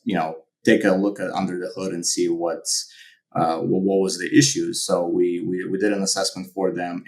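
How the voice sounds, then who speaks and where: A middle-aged man with a Ukrainian accent talks through his answers in a very deep, flat voice. He is measured and keeps pausing on fillers and restarting his sentences. He sounds like a podcast guest. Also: rough recording. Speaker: guest, company founder.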